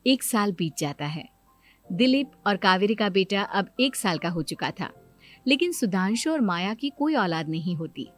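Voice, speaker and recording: A woman's voice: medium pitch, big pitch swings, moderate pace, faint music behind. A woman telling a scripted story as narrator.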